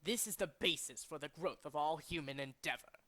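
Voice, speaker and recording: A man's voice: on the high side, pushed and bright. A man voicing a condescending, cultured and fanatical villain leader from a video game, speaking in a formal, theatrical way. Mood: cold, lofty. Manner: formal, pompous, theatrical, deliberate.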